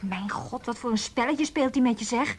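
An actress in a radio play playing a nosy, outspoken friend who questions insistently and gets indignant. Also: female voice, a little high, normal speed, slight background noise, lightly acted. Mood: indignant, incredulous. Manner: probing, insistent questioning with exclamations. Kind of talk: naturalistic Dutch radio play acting.

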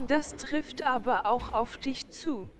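Prim girlish sister, radio drama, high voice, slow deliberate delivery, doting and earnest. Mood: prim, reproachful. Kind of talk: German radio drama acting.

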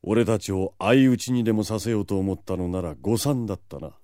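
A man's voice: on the low side, fairly quick, mellow, no echo, clean studio sound, close to an everyday voice. A man playing a suave, proud, composed vampire lord in an audio drama, self-assured and dramatic. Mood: confident, cool. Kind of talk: anime-style audio drama acting.

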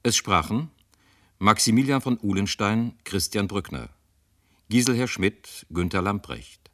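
A man's voice: fairly low pitch, animated, measured pace, a bit bright, dry recording, studio quality. A man reading the closing credits of a radio drama as a formal, scripted announcement.

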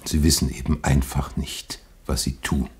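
A calm, placating man in a radio drama. His voice is extremely deep and very husky, gently dismissive. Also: moderate pace, heavily acted. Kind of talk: German radio play acting.

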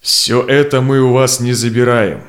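An actor playing a steadfast, conciliatory Cossack envoy in a verse audio drama, speaking in measured, persuasive declamation. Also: male voice, mid-range voice, steady tone, close to an everyday voice. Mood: sincere, firm. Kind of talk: theatrical verse drama acting.

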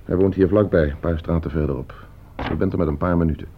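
An actor playing a coldly scheming gentleman heir in a radio play, suave, calculating and condescending. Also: low-pitched, fairly even intonation, fast, slight background noise. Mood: calm, commanding. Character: coldly scheming, broke gentleman heir plotting his uncle's murder. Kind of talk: Dutch radio play acting. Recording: muffled old radio play recording.

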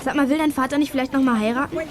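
Chatty, high-voiced little sister played by a woman. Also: steady tone, racing, noisy background, natural voice. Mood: eager. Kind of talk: German children's audio drama acting.

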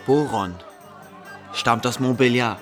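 An actor playing a knowing medieval student who briskly confides gossip to a friend in a radio play. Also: male voice, mid-range voice, natural voice. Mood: confiding. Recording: busy crowd and music behind.

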